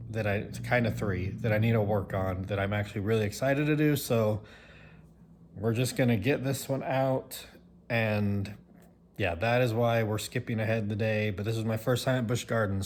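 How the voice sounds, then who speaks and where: Chatty low-voiced male vlogger. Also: darkish tone, slight background noise. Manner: rambling, chatty, lots of fillers. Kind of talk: casual vlog talk.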